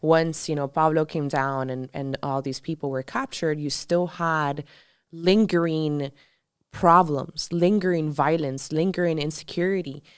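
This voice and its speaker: A woman in her thirties from Colombia, talking as a podcast co-host. Her voice sits low and sounds smooth and clean. She talks in a chatty, emphatic way, full of fillers, on a dry recording. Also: fairly even intonation, a little slow, no echo. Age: 30s. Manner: chatty and emphatic, many "like" and "you know" fillers. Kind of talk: casual podcast chat.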